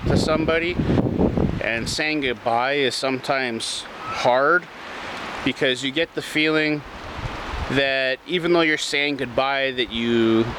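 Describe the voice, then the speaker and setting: A man with a mid-pitched voice shares an unscripted motivational talk at a slow pace. He is reflective and a bit rambling, pausing as he works through his thoughts. Clear outdoor noise with vehicles sits behind his voice. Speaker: video channel host.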